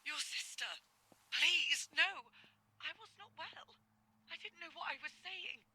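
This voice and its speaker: A woman's voice: high voice, animated, takes it slowly, pushed and bright, no room sound, full character voice. An actress playing an anxious middle-aged mother who pleads with her daughter in distress, in dramatic game dialogue. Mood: desperate pleading.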